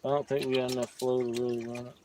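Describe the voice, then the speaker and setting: A man in his fifties, hosting a prospecting livestream outdoors and chatting in a relaxed, rambling way. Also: medium pitch, very fast, a little hiss or hum. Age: 50s. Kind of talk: casual outdoor chat. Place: outdoors by a creek.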